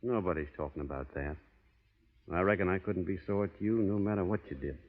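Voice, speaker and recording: An actor playing a plainspoken, stubborn, honorable cowboy with a drawling American Western accent in an old radio western. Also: male voice, deep voice, brisk, phone-quality sound. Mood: grave, quietly warning. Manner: drawling folksy cowboy talk, understated. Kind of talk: 1930s-40s radio western acting. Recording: boxy old radio recording, hall reverb.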